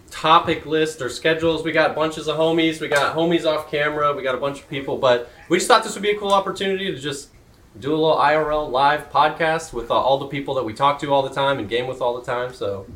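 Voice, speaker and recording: A man's voice: a little high, fast talker, fairly bright tone, roomy sound. A man hosting a live podcast at a convention, talking in a hyped-up way full of slang. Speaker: podcast host. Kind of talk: casual chat. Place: convention hall.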